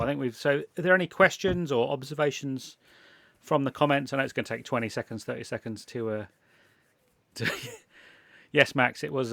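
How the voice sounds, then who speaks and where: A man from England hosts a relaxed chat, wandering through his points with restarts and chuckles. His voice carries a gravelly edge, recorded dry and close. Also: mid-range voice, dry recording. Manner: rambling, self-interrupting, laughs often. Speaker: podcast host. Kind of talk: casual chat.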